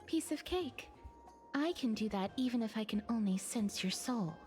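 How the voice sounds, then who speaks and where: Some music plays behind an actress as a young noblewoman from a video game. Her voice sits a little high, and she is courteous, earnest and reserved. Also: animated, moderate pace, darkish tone, natural voice. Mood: determined.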